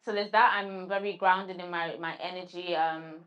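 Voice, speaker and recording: Woman poet, halting and reflective. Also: lowish voice, steady tone, a bit bright. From London. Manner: halting, searching for words with restarts when answering. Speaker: guest poet. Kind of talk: spoken word recital and interview answers.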